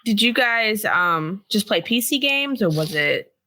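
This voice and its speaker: Unhurried, bright-voiced woman chatting on a podcast. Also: mid-range voice, animated. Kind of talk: casual chat.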